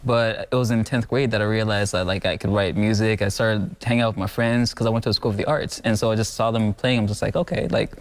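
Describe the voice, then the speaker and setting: A man's voice: lowish voice, steady tone, fast talker, hardly any pauses. A man in his twenties from Zambia, a musician guest on a morning TV show, answering casually with lots of "like" fillers and restarts. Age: 20s. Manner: soft-spoken, frequent "like" fillers and restarts. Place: TV studio.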